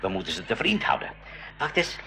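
An actor playing an eager, trusting professor uncle in a school radio play, with exclamatory delivery. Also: male voice, low-pitched, brisk, exaggerated voice. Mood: cheerful, trusting. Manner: animated, exclamatory. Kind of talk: Dutch-language school radio play acting. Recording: 1980s radio play with clear background noise.

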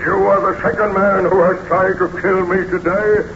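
An actor playing a menacing man-made monster, half man and half beast, speaking slowly and ominously in a 1930s radio serial. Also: high-pitched, level tone, fairly bright tone, reverberant. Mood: wounded defiance. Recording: noisy, muffled 1930s radio recording.

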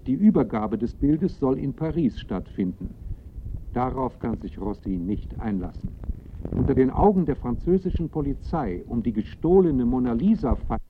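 Radio play narrator man, composed storytelling, medium pitch, phone-like band with faint noise. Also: big pitch swings, moderate pace, natural voice. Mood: composed, suspenseful. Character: composed male storyteller of a crime drama.

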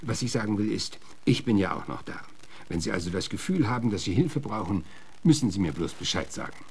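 An actor playing a gruff, plain-spoken middle-aged frontier marshal in a German radio drama, halting, folksy and awkwardly courteous, with a gravelly voice. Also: male voice, fairly low pitch, expressive, a little hiss or hum. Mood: reassuring, confiding. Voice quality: gravelly, husky.

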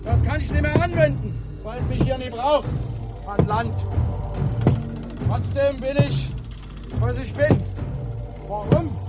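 Grumbling, pedantic blind helmsman, extremely high voice, radio play over loud music. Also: takes it slowly, pauses often. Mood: lecturing. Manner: didactic, pedantic. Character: opinionated blind helmsman lecturing his assistant, grumbling.

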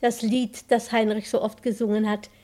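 An actress performs a meek, sentimental widow in a radio drama. Her voice sits high, with a plaintive, hesitant delivery. She sounds devoted and submissive, a fragile and naive soul. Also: level tone, moderate pace, mellow, close to an everyday voice. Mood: wistful, devoted. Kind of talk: German radio play acting.

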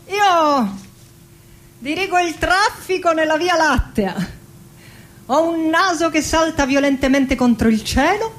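An actress voicing a passionate, defiant woman poet in a theatrical radio monologue, with slow, dramatic delivery and long pauses. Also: high voice. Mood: wry, playful. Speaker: actress and singer. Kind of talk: theatrical poetic radio monologue with music, code-switching, partly sung.

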